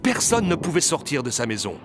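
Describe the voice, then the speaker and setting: A man's voice: on the high side, sing-song, brisk, noisy background. A man giving scripted narration of a story as narrator. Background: music, outdoor ambience effects.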